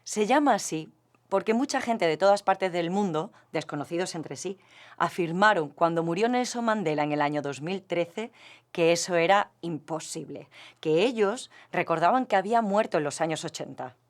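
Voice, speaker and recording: A woman's voice: lowish voice, animated, moderate pace, lightly acted. A woman playing a composed academic psychologist, explaining articulately and patiently in a scripted expert interview. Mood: didactic, composed.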